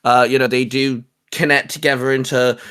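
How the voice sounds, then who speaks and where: A man with a mid-range voice hosts a relaxed, geeky chat. He speaks haltingly, circling back and repeating the starts of words as he works out what he means. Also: medium pitch, smooth. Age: adult, a parent. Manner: halting, repetitive, stammered word starts. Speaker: host. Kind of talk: casual geek chat.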